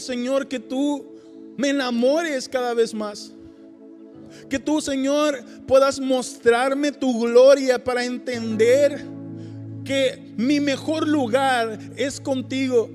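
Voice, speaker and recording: Male pastor, high-pitched, fervent and emphatic in sermon and prayer. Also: darkish tone, long reverb tail. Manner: fervent, emphatic preaching with repeated calls of "Señor". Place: church service.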